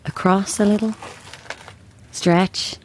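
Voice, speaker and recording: A woman's voice: medium pitch, steady tone, slow, slight background noise, lightly acted, rough recording. An actress playing a bereaved mother who believes in ghosts, blunt and insistent, in a naturalistic audio drama.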